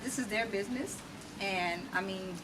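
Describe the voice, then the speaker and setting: A woman's voice: medium pitch, normal speed. A middle-aged American woman talking emphatically and at length as a guest in a conversational interview. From United States. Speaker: interview guest, massage therapist and music artist. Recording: distant mic, clearly noisy background.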